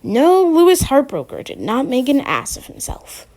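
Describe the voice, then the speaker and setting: A woman reads as an awkward teenage boy, bouncing between a grand, hammy radio-host voice and a meek, unsure mumble. The voice sits high and lively, swooping as he tries to sound important. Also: takes it slowly, poor audio.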